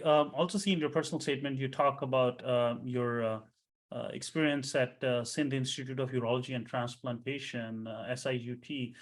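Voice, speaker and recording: Friendly male interview host. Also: medium pitch. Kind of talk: mock residency interview questions.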